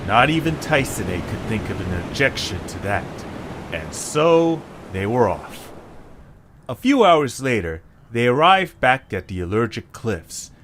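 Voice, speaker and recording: Man as calm adventure narrator, scripted storytelling, subdued, slight noise behind. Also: mid-range voice, unhurried, pitched below the performer's own voice. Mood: measured storytelling. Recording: faint background noise.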